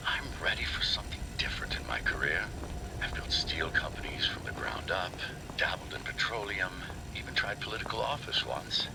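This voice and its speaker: A man's voice: very animated, moderate pace, creaky, heavily acted. An actor playing an ambitious, self-assured middle-aged male tycoon, theatrical, on a noisy audio log in a video game. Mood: confident, wistful. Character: ambitious, grandiose male tycoon dreaming of showbusiness. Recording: very noisy, rumbling vintage recording.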